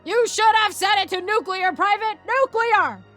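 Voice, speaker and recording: Hot-tempered male space sergeant, played by a woman, high-voiced, barking drill commands in a comic audio story. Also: normal speed, a bit bright, soft background music. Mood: furious, barking.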